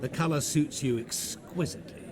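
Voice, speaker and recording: An actor playing a mysterious, smooth-talking male stranger who is courteous, theatrical and taunting, in a video game cutscene. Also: on the high side, normal speed, background noise, close to an everyday voice. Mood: seductive. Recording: game cutscene with music score.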